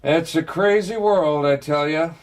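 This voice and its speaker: An actor playing a worldly, teasing older brother in a radio drama, dry and sarcastic. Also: male voice, a little high, measured pace, natural voice. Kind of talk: naturalistic radio drama acting.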